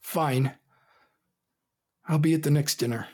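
A young emperor of an audio drama, angry and grieving underneath his pride. His voice is heavily breathy and husky, and he speaks in brooding, formal, clipped phrases. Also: fairly high pitch, measured pace, darkish tone. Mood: bitter, guarded. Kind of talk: audio drama acting with first-person narration.

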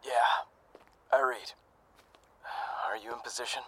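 A man's voice: mid-range voice, fairly even intonation, measured pace, noisy background, natural voice. Weary, wary cyborg soldier, acted by a man. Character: failing, loyal cyborg soldier comrade, wary and resigned. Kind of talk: audio drama acting.

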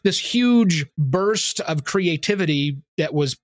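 A man's voice: a little high, animated, slow, no room sound. A man talking as a podcast guest, explaining things in an enthusiastic, casual way. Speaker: podcast guest, newsletter curator. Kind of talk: casual interview talk.

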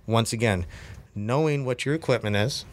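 A man's voice: medium pitch, normal speed. A man, a podcast guest, chatting casually and telling stories in an informal way. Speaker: podcast guest, amateur angler. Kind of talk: casual chat, storytelling.

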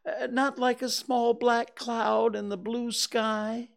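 A storyteller voicing a gentle, slow-witted male toy bear who talks slowly and stammers. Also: medium pitch, level tone, creaky, pitched above the performer's own voice. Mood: anxious. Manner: slow, ponderous, hesitant with stammers. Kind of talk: storybook reading.

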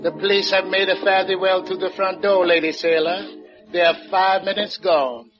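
An actor playing a devoted, easygoing island musician with a Caribbean accent and a quaint, deferential lilt, in 1950s radio drama style. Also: male voice, sits high, fairly even intonation, moderate pace, music in the background, close to an everyday voice. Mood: helpful. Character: easygoing, devoted Caribbean calypso guitarist and helper. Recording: muffled old 1950s radio recording.

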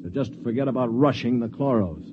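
An actor narrating and playing a calm, sardonic space traveler in a 1950s radio drama. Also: male voice, medium pitch, fairly quick, background noise, close to an everyday voice. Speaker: narrator and lead. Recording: dull old radio recording with music behind.

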